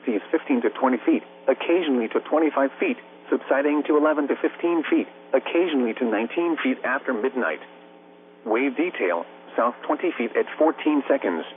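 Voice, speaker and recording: A man's synthetic American voice at a middling pitch delivers a marine weather bulletin. He recites it formally and impersonally, item by item. The sound is thin and band-limited like a radio receiver, with a slight hum underneath. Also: animated, brisk, phone-quality sound. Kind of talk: scripted weather bulletin narration.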